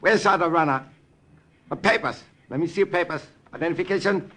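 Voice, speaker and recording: An actor playing a suspicious, curt Japanese army officer in an old radio drama, speaking clipped broken English. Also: male voice, on the high side, fast, sharp tone. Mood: stern suspicion. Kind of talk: 1950s radio drama acting. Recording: old radio recording with faint noise.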